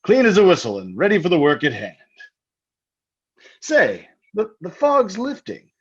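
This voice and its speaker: Radio drama husband male actor: theatrical, taunting, jovial menace, very lively intonation, slightly muffled sound. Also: high-pitched, unhurried, slightly rough, exaggerated voice. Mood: jovial, sly. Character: jovially menacing husband, keen hunter, teasing with murder talk. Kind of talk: old-time radio drama acting.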